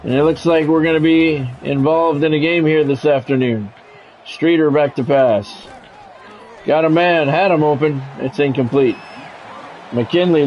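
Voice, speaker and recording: A man doing live play-by-play commentary over a football video game, in terse announcer phrases with candid asides. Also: on the high side. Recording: dull, lo-fi mic with faint background noise.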